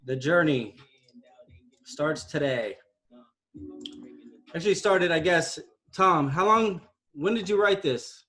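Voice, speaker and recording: A man's voice: fairly high pitch, expressive, takes it slowly, pauses often, sharp tone. A man hosting a casual group video call. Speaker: meeting host.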